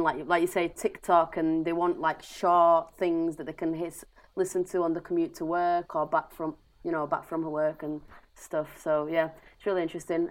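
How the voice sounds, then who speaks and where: Lowish-voiced woman hosting a casual interview. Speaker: podcast interviewer and host.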